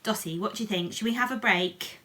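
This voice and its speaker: A woman reading a picture book to children in an animated, playful way, with chatty asides and questions to her listeners. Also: mid-range voice, fairly quick. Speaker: children's storybook reader.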